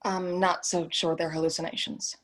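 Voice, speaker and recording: A woman's voice: on the low side, little pitch movement, normal speed, fairly bright tone, poor audio. A woman playing a stubborn, defensive young team member in a drama read, hesitant and evasive. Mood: uneasy, pleading. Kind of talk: scripted drama table read.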